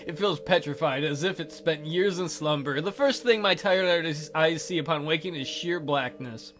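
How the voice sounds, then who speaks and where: A man's voice: fairly high pitch, normal speed, fairly bright tone, slight background noise. A man hosting a let's play, reading a visual novel aloud theatrically with goofy, crude joking commentary.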